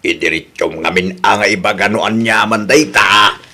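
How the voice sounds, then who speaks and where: A man's voice: lowish voice, a little slow, sharp tone, full character voice. A man playing an easygoing, mildly grumbly village husband in a radio comedy drama, questioning and colloquial, with a Filipino accent. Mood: disapproving.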